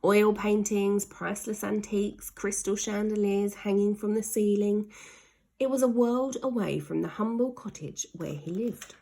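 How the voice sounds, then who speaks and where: A woman's voice: medium pitch, steady tone, measured pace, vocal fry, mellow. A woman narrating a children's story aloud in a dramatic way, leaning hard on sound words. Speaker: storybook reader.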